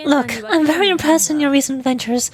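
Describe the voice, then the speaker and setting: A woman voicing a commanding female leader, reading game lines in a steady, earnest way. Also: sits high, fairly quick, soft background music, close to an everyday voice. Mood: urgent.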